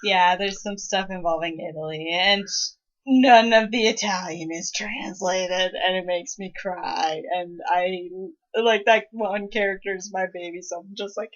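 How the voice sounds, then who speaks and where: A woman's voice: fairly low pitch, a little slow, muffled recording. A woman making wry, casual remarks and interjections as a co-host commenting on fan fiction.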